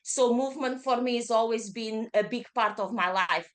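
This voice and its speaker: A woman's voice: fairly high pitch, moderate pace. A woman in her thirties with a Portuguese accent, a yoga teacher chatting in an interview, speaking with frequent fillers and self-corrections. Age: 30s. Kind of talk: casual interview chat.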